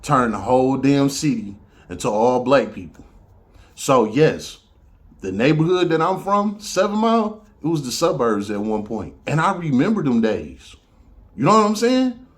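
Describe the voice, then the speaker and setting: Detroit guy w a southern drawl, just talking loose, cussing, keeps going "you know what im saying", mid pitch. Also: very animated, moderate pace. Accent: Southern-tinged Detroit. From Detroit, USA. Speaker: podcast host. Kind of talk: casual monologue.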